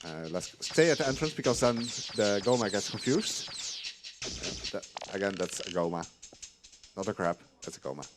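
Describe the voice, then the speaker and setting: Male speedrunner, animated pitch, explaining play live with frequent chuckles, music underneath. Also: normal speed. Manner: chuckles often while explaining. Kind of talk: live gaming commentary. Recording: game music behind the voice. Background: video game music.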